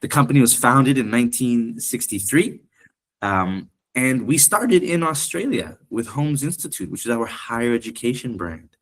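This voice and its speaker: Guy doing an online seminar talk, program director type, polite and measured, middle pitched voice. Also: expressive. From Canada and Thailand. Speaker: education program director presenting.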